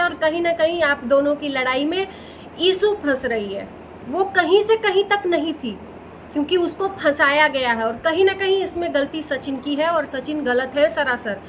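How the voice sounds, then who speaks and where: A woman's voice: high voice, a bit bright, slight background noise, low-quality recording. A woman giving casual, opinionated reaction commentary as a vlog host, repeating phrases for emphasis.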